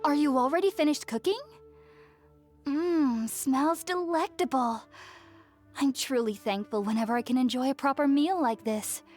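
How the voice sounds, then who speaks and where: A woman playing a gentle, earnest young woman scholar in a video game, speaking in a polite, measured, reflective way. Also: high voice, lightly acted. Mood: grateful, polite. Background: music.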